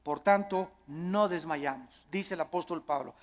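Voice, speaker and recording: A man's voice: fairly high pitch, a little slow. Mexican man preaching in a reverberant hall. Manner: emphatic, rhetorical repetition and questions. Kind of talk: sermon.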